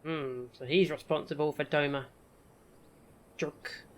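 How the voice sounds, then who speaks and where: A woman's voice: deep voice, steady tone, unhurried, a little hiss or hum. A woman streaming a game with casual, playful and wry commentary.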